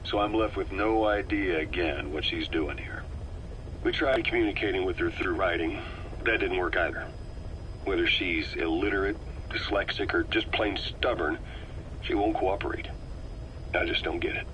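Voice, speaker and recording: A man playing a cool, calculating veteran mercenary intelligence officer in a video game, speaking in a measured, wry, persuasive way. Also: low-pitched, fairly bright tone. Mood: calm, analytical. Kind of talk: video game voice acting, briefing dialogue. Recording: noisy radio-like cassette tape recording.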